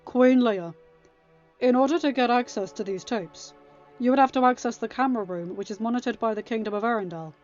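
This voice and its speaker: A woman's voice: a little high, a little fast, a little mellow, dull audio, natural voice. A woman voicing a firm, formal young king presiding over a council in a story reading. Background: music.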